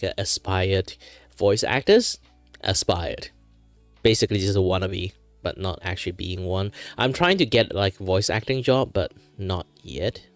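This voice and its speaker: Relaxed deep-voiced Malaysian streamer man. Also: no echo. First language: Chinese. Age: 30s. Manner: chatty, filler-heavy, laughs often. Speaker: streamer and aspiring voice actor. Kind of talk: casual chat while drawing on stream.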